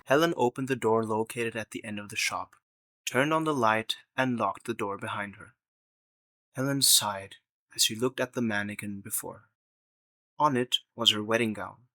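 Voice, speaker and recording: A man's voice: mid-range voice, bright, forceful tone, dry recording. A man narrating a scripted story in the third person as the narrator of an audio drama.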